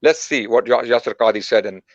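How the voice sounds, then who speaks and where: A man's voice: mid-range voice, fairly quick, no room sound. A man giving an emphatic talk and repeating his key points. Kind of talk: recorded talk played back. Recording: dull lo-fi clip.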